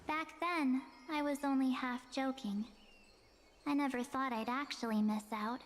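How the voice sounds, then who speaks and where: A woman's voice: high-pitched, steady tone, unhurried, in a large hall, slight background noise. A woman voicing a perky, boastful and sentimental young adventurer in a scripted video game story. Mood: wry, reflective. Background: game music with violin.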